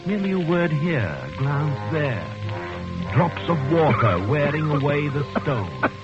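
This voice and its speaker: A man's voice: fairly high pitch, very animated, measured pace, loud music behind the voice. An actor playing a cold, calculating male convict who torments his cellmate, in old radio drama style from a dull, dated recording. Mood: gloating narration. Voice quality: smooth, precise, sinister. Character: cold, scheming male convict, cruelly intellectual. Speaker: prisoner narrating his scheme. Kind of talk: 1940s radio drama acting.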